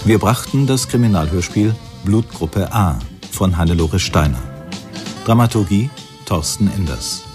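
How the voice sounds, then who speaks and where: A man's voice: deep voice, expressive, measured pace. A man reading radio drama closing credits as a formal, neutral announcer. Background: guitar music.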